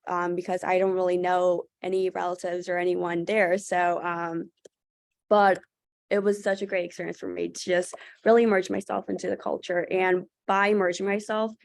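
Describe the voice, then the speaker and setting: A woman's voice: fairly low pitch, level tone, moderate pace, gravelly, dry recording. Earnest young woman on a dry video call. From Thailand. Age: young adult. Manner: earnest, with frequent fillers and restarts. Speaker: student panelist. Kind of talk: webinar panel talk.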